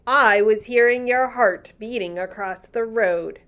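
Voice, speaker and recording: A woman's voice: a little high, unhurried, fairly bright tone, dull audio, voice pushed up. A woman voicing a big friendly old male giant who talks earnestly in muddled grammar. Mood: confiding.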